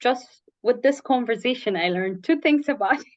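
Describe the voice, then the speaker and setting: A woman's voice: fairly high pitch, animated, normal speed, dry recording. A woman hosting a casual interview, responding in an appreciative, reflective way, recorded in slightly dull, lo-fi audio. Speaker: interview host.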